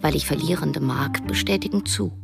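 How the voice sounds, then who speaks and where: An actress playing a youngish novelist who narrates a radio drama in a measured, wry, literary way. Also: female voice, low-pitched, gravelly, over loud music. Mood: reflective, wry. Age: young adult. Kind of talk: scripted first-person radio drama narration.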